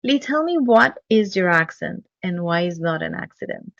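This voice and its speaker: A woman hosting a podcast, reading a guest introduction and asking questions in a measured, enthusiastic way, with a non-native English accent. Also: lowish voice, expressive, creaky, dry recording, highs cut off. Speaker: podcast host. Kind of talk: reading an introduction, then interviewing.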